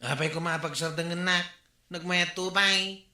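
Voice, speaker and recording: A man's voice: high voice, fairly even intonation, normal speed, pushed and bright. An actor playing a brash, noisy male neighbor who teases and mocks his neighbors in a radio comedy drama. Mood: mocking. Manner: teasing, mocking, laughs at complaints. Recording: echoey room.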